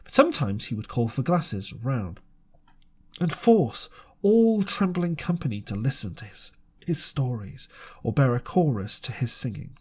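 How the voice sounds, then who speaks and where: Husky man reading a storybook expressively. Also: medium pitch, big pitch swings, unhurried, a little mellow. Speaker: audiobook narrator. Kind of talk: dramatic storybook narration.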